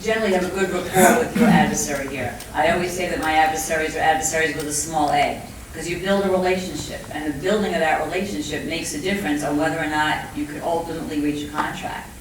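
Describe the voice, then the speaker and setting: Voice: mid-range voice, quick pace. A middle-aged or older panelist with a Brooklyn accent, talking off the cuff in a conversational, plain-spoken and emphatic way. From Brooklyn, New York, USA. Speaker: labor relations negotiator, conference panelist. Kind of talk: panel talk, speaking off the cuff. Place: indoor conference room with audience. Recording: echoey hall, distant mic, faint noise.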